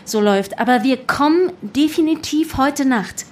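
High-voiced, driven young woman agent. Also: lively intonation, normal speed, darkish tone. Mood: reassuring, businesslike. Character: driven, earnest young female undercover agent among activists. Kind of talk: naturalistic audio drama acting. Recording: clear background noise and ambience.

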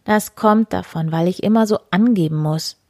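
A woman's voice: fairly low pitch, moderate pace, darkish tone, no room sound, clean studio sound. A woman voicing a boastful, fretting miller in a fairy tale.

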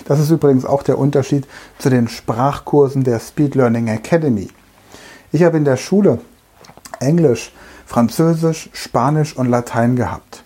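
A middle-aged man delivers a lecture-style monologue as a language trainer, speaking straight to the listener and leaning on everyday comparisons. His voice is husky and mellow, with a soft, dark tone. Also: medium pitch, moderate pace. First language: German. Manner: direct, uses everyday examples, speaks to the listener as du.

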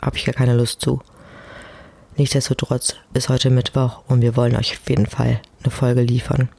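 A woman's voice: a bass voice, flat delivery, normal speed, gravelly, mellow, dry recording. A woman casually hosting a podcast alone in speech, chatty, informal and self-deprecating, speaking close to the microphone at home. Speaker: podcast host. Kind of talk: casual solo podcast talk. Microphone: close personal microphone.

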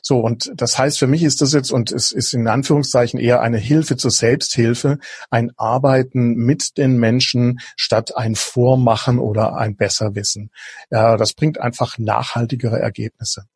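A man's voice: medium pitch, steady tone, moderate pace, darkish tone, husky, no echo, clean studio sound. A man from southwest Germany, a guest on a podcast interview, talking in a hesitant way with many fillers and restarts. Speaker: guest, innovation coach.

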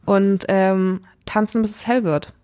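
A woman's voice: medium pitch, steady tone, unhurried, dark tone. A young woman co-hosting a podcast in casual chat, teasing and laughing often. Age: young adult. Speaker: podcast co-host.

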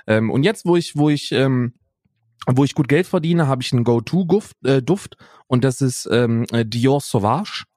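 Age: young adult. Role: podcast co-host. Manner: rambling casual chat, lots of "ne?" and "bro", middle pitch. Sound: dry, close mic with no room at all. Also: male voice, animated, normal speed, a little mellow, no room sound. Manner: rambling, tags lines with "ne?" and "Bruder".

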